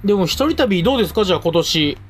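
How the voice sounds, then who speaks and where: A man's voice: sits high, animated, fast, slight background noise. A man with a Kansai accent co-hosting a radio show, bantering casually and reading out listener mail in a colloquial way.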